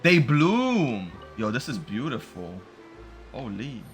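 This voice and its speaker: A man's voice: medium pitch, very animated, slow. A young man doing casual livestream game commentary, upbeat and slangy as he chats with his viewers. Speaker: game streamer. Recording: music playing behind the voice.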